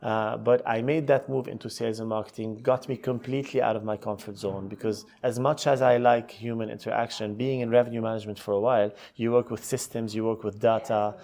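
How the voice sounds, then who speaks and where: A man's voice: fairly low pitch, level tone, a little fast, hardly any pauses, darkish tone. A middle-aged man, telling his career story conversationally as an interview guest. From Lebanon. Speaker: podcast guest, hotel executive.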